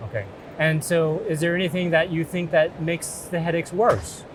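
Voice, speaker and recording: A man's voice: on the high side, flat delivery, normal speed. A middle-aged man speaking as a doctor in a reassuring, explanatory consultation. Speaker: doctor in a mock patient consultation. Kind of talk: conversational clinical consultation. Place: open booth in large indoor exhibition hall. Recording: noisy trade show hall background.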